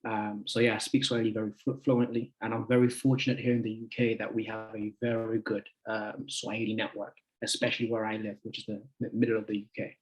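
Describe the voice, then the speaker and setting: A man in his twenties giving a friendly, chatty talk to a group as a guest speaker, halting often with fillers. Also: fairly low pitch, level tone, rough recording. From United Kingdom. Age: 20s. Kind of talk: casual talk to an online group.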